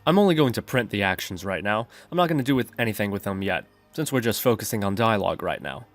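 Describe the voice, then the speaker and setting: A man's voice: lowish voice, lively intonation, quick pace, faint music behind. A man narrating a programming tutorial in a methodical, instructional way.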